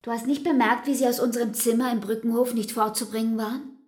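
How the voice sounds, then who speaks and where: An actress playing a weary, pleading young woman in love in a German radio drama, intimate and breathy, slipping into whispers. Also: on the high side, steady tone, fast, a little mellow, lightly acted. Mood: urgent, reproachful.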